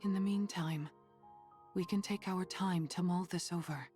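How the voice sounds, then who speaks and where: Woman voicing lower pitched serious soldier android lady, firm and measured, anime game dub, bit of music underneath. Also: fairly even intonation, mellow, close to an everyday voice. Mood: stern, resigned. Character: stern, level-headed female android soldier, protective comrade.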